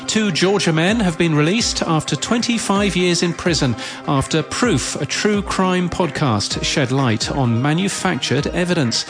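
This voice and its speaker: A man's voice: on the high side, expressive, a little slow. A man hosting a podcast news bulletin, reading from a script. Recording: music bed behind voice. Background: music.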